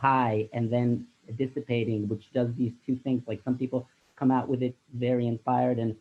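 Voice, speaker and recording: A man's voice: medium pitch, little pitch movement, fairly quick. A man reflecting in a casual, rambling way in a discussion, leaning heavily on "like.". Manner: casual, rambling, heavy use of "like". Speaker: workshop participant. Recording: tinny phone-like audio.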